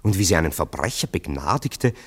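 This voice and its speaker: Husky, low male confidant for a radio drama: sceptical, teasing, wry, hugely animated intonation. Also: brisk, heavily acted. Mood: wondering. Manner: short, wry, exclamatory interjections. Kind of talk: German literary radio play acting.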